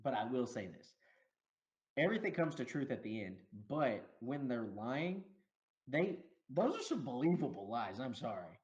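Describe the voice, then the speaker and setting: Animated man in casual movie chat. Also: medium pitch, moderate pace, muffled recording. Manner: animated, laughs often, acts out quotes. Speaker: podcast co-host.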